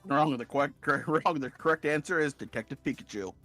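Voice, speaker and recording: A man's voice: on the high side, fairly quick, sharp tone. A man hosting a livestream, casually relaying questions to the others on a group call. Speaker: livestream host. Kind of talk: casual chat, moderating questions. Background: music.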